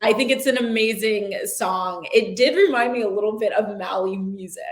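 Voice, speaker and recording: A woman's voice: mid-range voice, normal speed, echoey room. A woman hosting a talk show, asking long friendly questions in a casual interview. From the Washington DC area.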